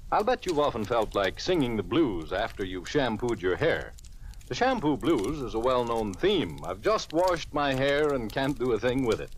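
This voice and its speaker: A man delivering a polished, scripted 1940s radio commercial as the announcer. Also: medium pitch. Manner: polished, salesmanlike. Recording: noisy old radio recording.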